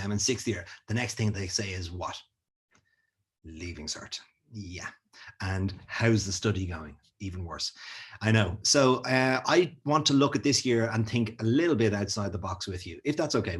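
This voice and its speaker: A man's voice: on the low side, moderate pace, husky. A man talking to students in a friendly, encouraging, chatty way, with lots of fillers and little asides. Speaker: school wellbeing speaker. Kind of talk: casual talk to students on video.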